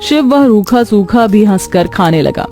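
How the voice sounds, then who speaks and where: A woman's voice: medium pitch, lively intonation, unhurried, dark tone, soft background music. A woman narrating a scripted cartoon story.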